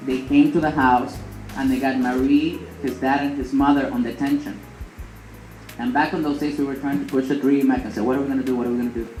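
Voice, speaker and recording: A man who grew up speaking addresses a room as an activist, with a medium-pitched voice. He tells stories informally and emphatically, repeating phrases and asking questions. The room is very echoey, with faint music. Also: a little mellow. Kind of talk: informal rallying speech with storytelling. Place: indoor fundraiser event room.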